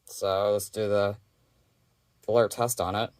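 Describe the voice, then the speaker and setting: A man's voice: fairly low pitch, flat delivery, unhurried. A man giving a casual, rambling, offhand hands-on demo of his collection, presenting as a hobby collector. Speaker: weather radio collector presenting.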